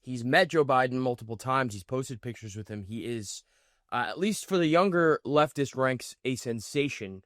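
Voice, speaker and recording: A man's voice: medium pitch, expressive, normal speed, a bit bright, no room sound, clean studio sound. A man hosting a political reaction video, commenting in an indignant, mocking way. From United States. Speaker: commentary host.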